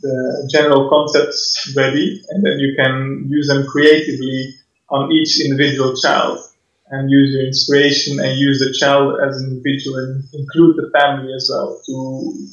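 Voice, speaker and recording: Flat-voiced Belgian physio on a roomy line. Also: male voice, medium pitch. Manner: measured, explanatory, frequent fillers. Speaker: physiotherapist guest. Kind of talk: online course interview. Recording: roomy, dull lo-fi sound.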